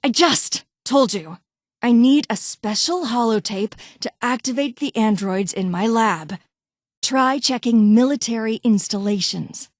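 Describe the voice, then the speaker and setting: A woman's voice: a little high, measured pace, no room sound, studio quality. A woman voicing a brusque, condescending vault doctor and scientist in a video game, with clipped, formal, haughty delivery. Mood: brisk. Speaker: voice actor in a game mod. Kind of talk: scripted game character dialogue.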